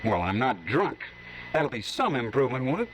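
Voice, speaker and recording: A man's voice: medium pitch, big pitch swings, moderate pace, background noise, natural voice. An actor playing a broke, cheeky young cowboy who talks his way into a cooking job, in a 1950s radio drama. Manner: easygoing, cheeky, chuckling.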